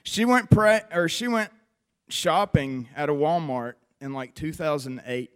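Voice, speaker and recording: A man in his twenties with a West Virginia accent, giving an earnest, conversational personal testimony to a church audience with frequent pauses. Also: on the high side, smooth. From West Virginia, USA. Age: 20s. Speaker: recovery program member giving testimony.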